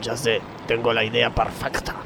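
Man as bright, forceful Argentine scheming worker. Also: mid-range voice, completely flat delivery, moderate pace, gravelly, slight background noise. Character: scheming, earnest male factory worker, ringleader. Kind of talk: comedy radio sketch acting.

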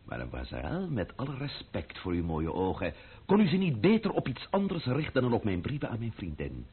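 Proud French count, husky, very animated, ironic, ornately courteous, vintage radio play. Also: male voice, on the low side, fairly quick, narrow, tinny audio, exaggerated voice. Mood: sarcastic, indignant. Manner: ornate, ironic, theatrical politeness. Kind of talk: old-fashioned Dutch radio drama acting.

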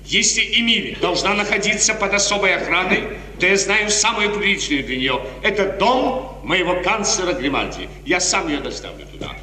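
Suave, imperious prince for vintage radio tragedy: high, animated voice, condescending and commanding, echoing old recording. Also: male voice, moderate pace, slight background noise, heavily acted. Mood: soothing, commanding. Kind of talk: 1950s radio theatre acting.

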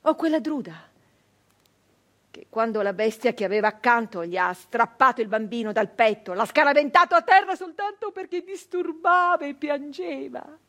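Fierce bitter bandit woman from the 1800s, highish voice, theatrical monologue, intense and declamatory. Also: expressive. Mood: grim. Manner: intense, declamatory, with slow pauses and hushed drops. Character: fierce, embittered 19th-century Italian brigand woman. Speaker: stage actress.

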